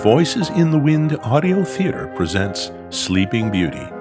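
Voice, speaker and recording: A man's voice: mid-range voice, sing-song, a little slow. A male announcer reading a dramatic title announcement. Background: music.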